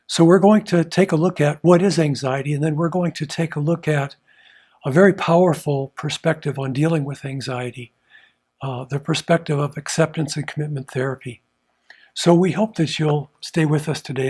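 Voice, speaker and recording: A man's voice: a little high, a little mellow, distant mic. A man hosting and teaching on self-help, speaking earnestly and repeating phrases for emphasis. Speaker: host, therapist teaching a class and interviewing.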